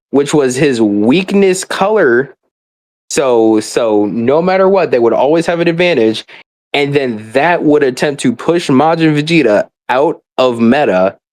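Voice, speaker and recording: A man's voice: medium pitch, a little mellow. Exasperated man on a casual gaming rant. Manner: exasperated, emphatic, profane. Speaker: gamer ranting about a mobile game.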